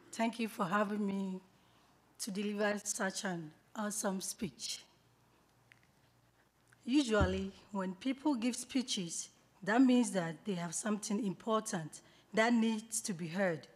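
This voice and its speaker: A woman from Ghana whose first language is Twi, reading a prepared graduation speech in a measured, earnest way at a podium microphone in a banquet hall. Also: mid-range voice. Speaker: graduating student speaker. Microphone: podium microphone on a stand, filmed from a far camera.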